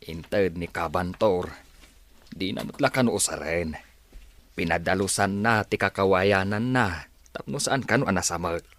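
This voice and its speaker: A man's voice: low voice, measured pace, fairly bright tone, no room sound. A man playing a defensive, apologetic village bamboo gatherer who speaks hesitantly in a radio drama. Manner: hesitant, excusing himself.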